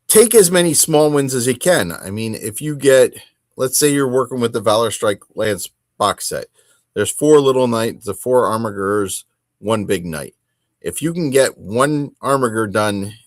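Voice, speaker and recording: A man with a mid-pitched voice talks casually as a solo podcast host. His delivery is conversational and emphatic, with self-corrections along the way. The sound is close and dry. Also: no echo. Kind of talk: casual solo hobby talk.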